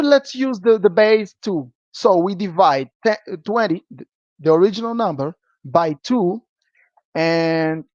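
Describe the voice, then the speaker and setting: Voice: medium pitch, darkish tone, dry recording. Teacher, dull dry recording. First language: Portuguese. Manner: checks in with a frequent "okay?". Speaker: programming teacher. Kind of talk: live-streamed lesson.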